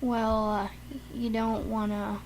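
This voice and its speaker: A woman co-hosting a casual show, rambling and halting with frequent restarts. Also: fairly high pitch, level tone, a little mellow, slight background noise, rough recording. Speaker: podcast co-host. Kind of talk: casual chat.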